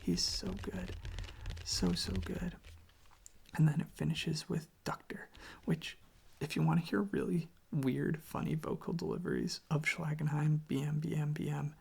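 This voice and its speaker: An ASMR creator speaking very softly and casually into a close microphone, with a breathy, creaky voice and a meandering, quietly enthusiastic way of talking. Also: mid-range voice, fairly even intonation, unhurried. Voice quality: whispery, breathy with creaky fry. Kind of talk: soft-spoken ASMR, rambling music talk.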